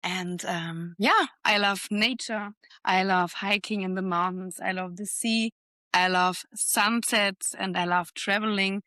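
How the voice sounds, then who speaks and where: A bright, clear-toned young woman talks in an easy podcast chat, calm and thoughtful, often pausing to find the next word. Her voice sits close and dry on the mic, with no room around it. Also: mid-range voice, no echo. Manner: calm, reflective, searching for words. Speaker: podcast co-host and forest bathing trainer.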